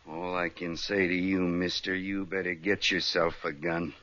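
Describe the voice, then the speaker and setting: A man's voice: low-pitched, level tone, moderate pace, sharp tone. A man playing a possessive, hot-tempered rancher with blunt, rustic American speech in an old radio Western. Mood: menacing. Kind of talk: 1950s radio Western acting. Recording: dull, hissy old radio recording.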